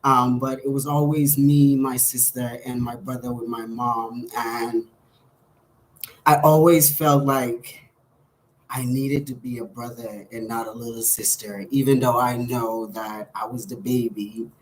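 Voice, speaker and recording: A man's voice: mid-range voice, steady tone, a little slow, rough recording. A man in his thirties with a Bahamian accent tells a personal story to camera in a candid, hesitant way. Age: 30s. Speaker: vlogger.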